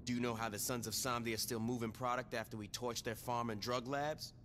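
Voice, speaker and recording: An actor playing a cocky, laid-back gang leader in a video game, talking bluntly and dismissively in slang. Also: male voice, medium pitch, steady tone, fairly quick, a bit bright, big-room sound, slight background noise, lightly acted. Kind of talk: video game cutscene acting.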